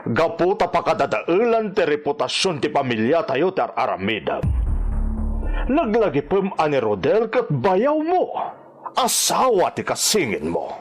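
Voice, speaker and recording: A man's voice: fairly high pitch, wildly swooping pitch, unhurried, soft background music. An actor with a Filipino accent playing a stern, reproachful father who scolds in radio drama style. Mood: scolding. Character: stern, reproachful father protective of family reputation.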